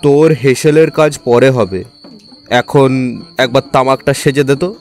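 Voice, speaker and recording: A man playing a lonely young village postmaster in an audio story, talking in an informal, familiar, unhurried way. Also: medium pitch, darkish tone, faint music behind, natural voice. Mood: calling, bossy. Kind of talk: Bengali audio story acting.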